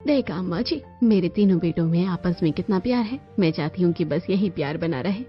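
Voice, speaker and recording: Woman voicing a determined middle-aged mother, low voice. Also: animated, brisk, natural voice. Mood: firm, insistent. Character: determined middle-aged Indian mother planning separate kitchens. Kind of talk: Hindi cartoon story dialogue. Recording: dull lo-fi audio with music.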